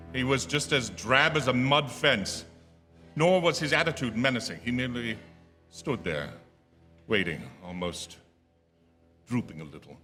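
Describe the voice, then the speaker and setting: A haunted, uneasy motorist played by a man speaks in a tense, forceful voice at a middling pitch. He is nervous and halting, in radio drama style. The sound carries the long reverb of a theatre, with music around it. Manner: nervous, halting, stammering under strain. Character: uneasy male motorist haunted by a recurring hitchhiker. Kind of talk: radio drama first-person narration and acting. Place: stage of a theatre.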